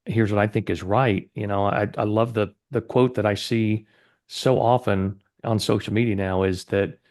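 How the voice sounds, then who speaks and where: A man's voice: fairly low pitch, fairly even intonation, moderate pace, darkish tone. A man hosting a casual interview podcast, speaking in an upbeat, earnest and encouraging way. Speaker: podcast host.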